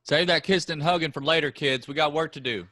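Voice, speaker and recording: A man reading the part of Ray, a gruff, bossy farm brother with a drawling Kentucky accent, in a staged play reading. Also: a little high, fast talker, sharp tone. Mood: teasing, bossy. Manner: drawling, needling, rough rural talk.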